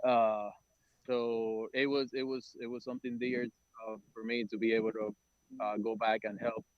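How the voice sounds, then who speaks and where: Halting low-voiced man, flat delivery. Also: no room sound, muffled recording. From Dominican Republic. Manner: halting, many "uh" fillers and restarts. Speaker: nonprofit testing organizer. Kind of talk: briefing a council meeting.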